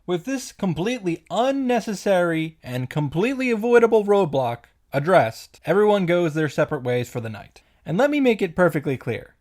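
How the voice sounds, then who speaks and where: A man's voice: high voice, sing-song, normal speed, few pauses, a little mellow. A man hosting a story recap, reading the narration dramatically and adding wry commentary of his own. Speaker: host of a visual novel reaction and summary series.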